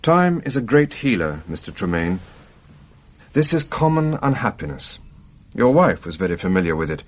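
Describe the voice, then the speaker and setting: An actor playing a pragmatic family doctor in a radio drama, consoling in a brisk, matter-of-fact way. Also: male voice, fairly low pitch, big pitch swings.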